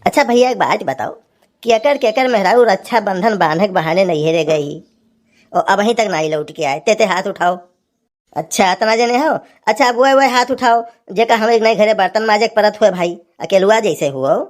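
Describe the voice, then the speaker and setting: A woman's voice: mid-range voice, normal speed, close to an everyday voice. A woman voicing a grumbling, teasing village husband in a cartoon comedy, with an Awadhi accent and a folksy, scolding manner. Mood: chatty.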